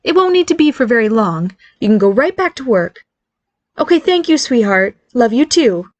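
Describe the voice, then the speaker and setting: Brief: woman doing a high bubbly fairy-godmother mom, anxious but reassuring, close dry sound. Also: big pitch swings, normal speed, dry recording. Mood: chirpy nervous. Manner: eager, reassuring, nervous, bubbly.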